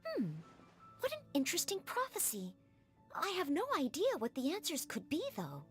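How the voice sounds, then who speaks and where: A woman's voice: high-pitched, huge pitch swings, normal speed. A woman voicing an earnest, eager young girl knight-in-training in an anime game. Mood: bright, curious. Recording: music behind the voice. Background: background music.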